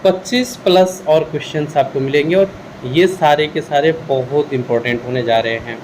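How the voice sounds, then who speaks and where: Male Indian teacher, slightly high voice, instructional question-and-answer delivery for exam revision, faint hum behind. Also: normal speed, darkish tone, poor audio. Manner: instructional, poses questions then answers them. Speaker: history teacher.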